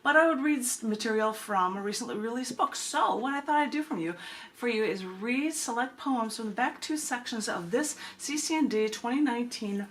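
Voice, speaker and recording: A woman hosting a poetry reading, speaking expressively and deliberately, with casual asides. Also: a little high, expressive, fairly bright tone. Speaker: poet and reading host. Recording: clearly noisy room recording.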